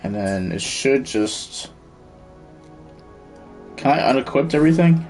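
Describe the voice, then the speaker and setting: A man's voice: mid-range voice, expressive, measured pace, darkish tone, soft background music. A man streaming a video game, giving casual commentary and muttering to himself as he thinks aloud. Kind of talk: casual live gameplay commentary.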